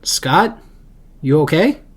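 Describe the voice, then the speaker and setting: A man's voice: on the high side, big pitch swings, slow, slightly rough, heavily acted. A man acting a loyal, awkwardly supportive hero friend in an audio drama, casual and hesitant. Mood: concerned, supportive.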